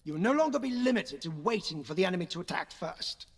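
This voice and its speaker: An actor playing a stern, sardonic older uncle who gives commanding sword lessons in an audio game, with a raspy voice. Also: male voice, sits high, lively intonation, quick pace, heavily acted. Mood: sardonic, instructive. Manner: commanding, dry sarcasm. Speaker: sword instructor. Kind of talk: dramatic audio game acting.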